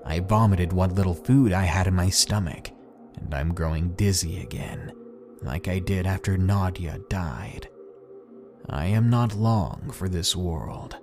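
A man reading as a despairing old school janitor. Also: low voice. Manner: slow, hushed, breaking into whispers. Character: despairing male school janitor writing a farewell note. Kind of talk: dramatic horror story reading. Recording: soft music behind.

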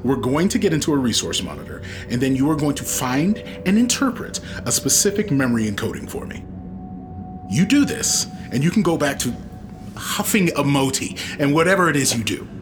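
An actor playing a cocky, menacing outlaw kidnapper chief in a sci-fi audio drama, sardonic and taunting. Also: male voice, medium pitch, very animated, fairly quick, over music, lightly acted. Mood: sardonic, insistent.

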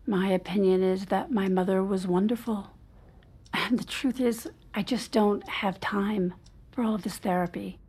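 A woman's voice: medium pitch, fairly even intonation, moderate pace, a little mellow, husky, close to an everyday voice. An actress playing a guarded, strained wife and mother in naturalistic audio drama, speaking conversationally with some hesitancy. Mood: defensive.

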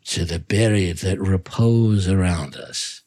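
A man's voice: sits low, slow pace, darkish tone, pitched below the performer's own voice. A man voicing a drunken, boastful, proud nobleman in a scornful, theatrical way, from a Gothic story. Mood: tipsy toasting.

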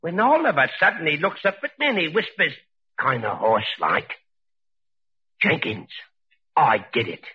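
A deferential British pub keeper testifying in an old radio play. His husky voice bounces around in pitch as he rambles humbly. The vintage recording sounds muffled. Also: very animated, normal speed, long pauses, full character voice. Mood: nervous, eager. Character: humble, talkative British pub proprietor on the witness stand. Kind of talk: 1940s radio acting.

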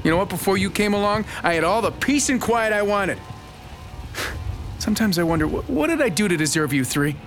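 A menacing, bitter family man in an audio drama. His pitch swings widely as he bullies and sneers, with music running prominently underneath. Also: lively intonation, fairly quick, fairly bright tone. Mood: bitter, sarcastic. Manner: sarcastic, bullying. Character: cruel, bitter, menacing husband and father.

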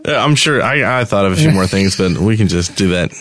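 A man's voice: fairly low pitch, lively intonation, a little fast, a bit bright, no echo. A man co-hosting a podcast with casual chat about gaming. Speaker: podcast co-host.

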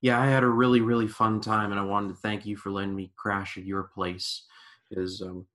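A man's voice: fairly low pitch, little pitch movement, brisk, a bit bright. A man guesting on a casual podcast, enthusiastic and repetitive, full of "like" fillers. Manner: enthusiastic, repeats himself, many "like" fillers.

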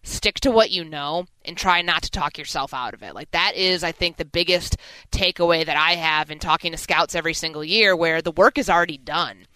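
Bright, clear-voiced woman in sports radio. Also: low voice, a little fast, dry recording, rough recording. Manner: emphatic, opinionated. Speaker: sports radio co-host and analyst. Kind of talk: sports talk radio commentary.

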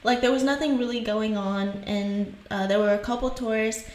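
Young haitian-born woman hosting a podcast, bit high pitched, chatty and laughy, kinda echoey room. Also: steady tone, normal speed, darkish tone. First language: French and Haitian Creole. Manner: chatty, laughs often, lots of "like" fillers. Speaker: podcast host. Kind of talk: casual storytelling podcast.

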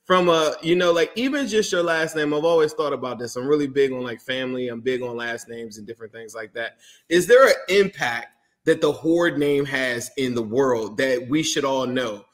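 A man's voice: medium pitch, moderate pace. A man hosting a casual sports podcast, asking enthusiastic, rambling questions full of fillers. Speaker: podcast host.

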